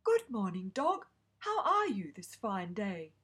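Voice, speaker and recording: A woman voicing a hopeful male donkey in a storybook, loud and cheerful. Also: medium pitch, sing-song, slow pace, slightly rough, heavily acted. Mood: eager.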